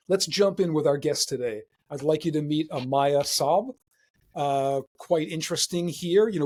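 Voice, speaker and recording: A man with a fairly high voice hosting an interview. He asks questions and affirms the guest in a friendly way, with many uh and you know fillers. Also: a little mellow. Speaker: interview host.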